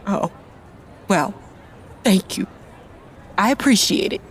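A woman playing a grieving, bitter mother in an audio drama, emotional, wounded and halting. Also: medium pitch, animated, some chatter behind, slight background noise. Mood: guarded, touched.

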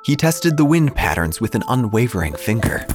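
Man, scripted first-person narration, dry wry delivery, lively pitch, mid-range voice. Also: moderate pace, over music. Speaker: narrator and obituary writer character.

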